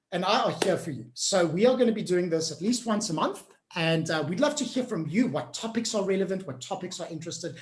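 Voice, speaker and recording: A woman's voice: lowish voice, roomy sound. A woman hosting an online workshop on change management. She coaches the group directly in an upbeat, encouraging way and keeps checking in with them.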